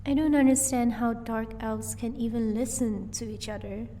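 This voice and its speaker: Playful female vampire spouse, voiced by a woman, audio roleplay: higher pitch, dark mellow tone, gentle teasing manner. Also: fairly even intonation, normal speed, far from the mic, a little hiss or hum, close to an everyday voice. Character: sweet, playful female vampire, doting wife.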